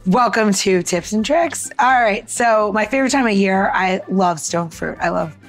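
An American woman co-hosts a podcast in a medium-pitched voice. She is chatty and emphatic, with casual phrasing. Music plays faintly beneath her. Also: normal speed. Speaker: podcast co-host. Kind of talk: casual chat and cooking tips.

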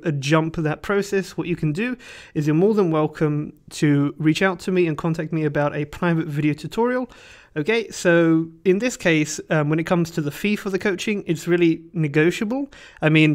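A man hosting his channel, giving a relaxed, casual update over a screen recording, talking with many fillers and restarts. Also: on the high side. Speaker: channel host.

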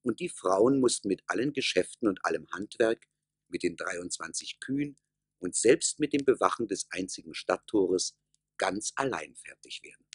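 A man narrating a fairy-tale radio play as a measured, wry, expressive storyteller. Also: low-pitched, noisy background.

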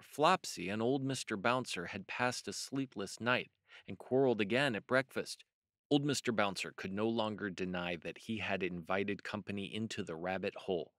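A man narrating a children's storybook as host, measured and expressive. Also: fairly low pitch, no echo, studio quality.

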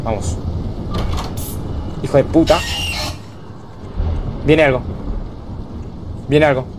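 A young man from Venezuela streaming live gameplay commentary, excitable and quick to repeat himself and yell when startled. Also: medium pitch, noisy background. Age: young adult. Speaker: gaming streamer. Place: home room, indoors.

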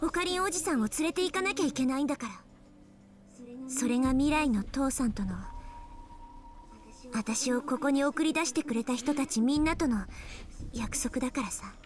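Terse young soldier. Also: female voice, sits high, expressive, quick pace, pauses often. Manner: clipped, matter-of-fact. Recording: game music behind.

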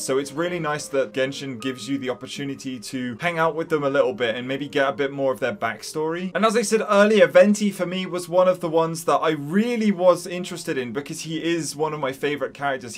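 A young man giving casual game reaction commentary, earnest and enthusiastic, recorded close at a desk. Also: fairly high pitch. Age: young adult. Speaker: gaming YouTuber.

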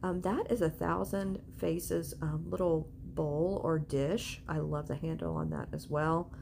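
A woman's voice: low-pitched, steady tone, measured pace. A woman giving a casual, chatty shopping vlog voice-over in an informal way. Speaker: vlogger and antiques reseller. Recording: clear background noise.

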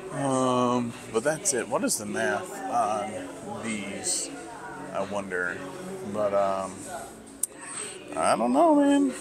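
A man vlogging casually as he browses sneaker stores in a mall, talking slowly and musing to himself with lots of fillers. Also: fairly low pitch. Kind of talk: casual walk-through commentary.

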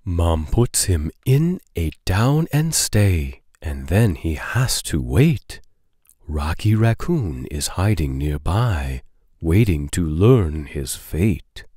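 A man reading children's rhyming poems aloud in a playful, deliberate, sing-song storybook style. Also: deep voice, big pitch swings, dark tone, breathy edge, dry recording, studio quality. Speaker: children's book read-aloud narrator.

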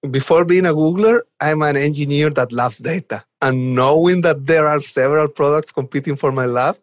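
A man's voice: a little high, normal speed, no room sound, studio quality. A man, chatting informally and enthusiastically as an interview guest. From Chile. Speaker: podcast guest, software developer advocate. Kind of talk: conversational interview answers.

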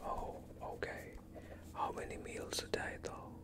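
A young man in a whispered ASMR doctor roleplay, speaking calmly and soothingly in a breathy, unvoiced whisper close to the microphone. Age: young adult. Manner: calm, soothing, unhurried questioning. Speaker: ASMR performer playing a doctor.

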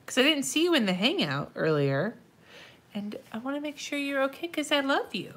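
A woman's voice: high voice, very animated, moderate pace, a bit bright. A woman with a stuffy, nasal voice, chatting casually and muttering to herself while decorating a planner on a livestream, answering viewers and swearing now and then. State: congested, nasal from a stuffy nose. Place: indoors at a desk.

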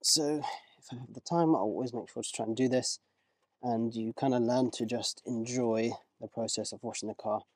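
A man in a casual vlog, talking through his car wheel cleaning outdoors in a relaxed, rambling way, with small self-corrections, his voice moving closer and farther from the camera. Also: mid-range voice, normal speed, no room sound. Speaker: car rental host. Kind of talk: casual vlog narration while working.

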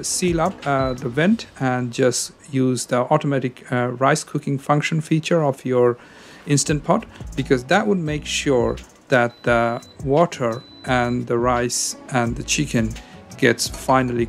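A man's voice: mid-range voice, vocal fry. A man narrating his cooking video in a casual, hesitant way, with many ums and uhs. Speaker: cooking channel host.

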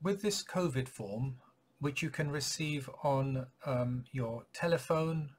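A man in his forties, a clinic practitioner, giving patients an informational update in a measured, polite, slightly husky voice. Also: mid-range voice. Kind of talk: informational announcement to camera. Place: small home office or study, indoors.

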